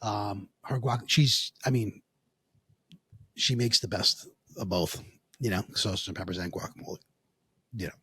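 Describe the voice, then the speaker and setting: A man's voice: on the low side, unhurried, long pauses, sharp tone, breathy edge, no echo. A man co-hosting a casual podcast, laid-back and trailing off into restarts as he chats with friends. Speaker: podcast co-host. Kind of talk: casual chat.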